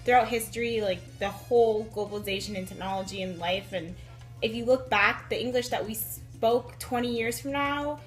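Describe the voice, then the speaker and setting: A woman's voice: a little high, normal speed, faint music behind. A woman giving a thoughtful, casual interview answer, correcting herself as she goes and saying "like" often. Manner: thoughtful, self-correcting, filler like.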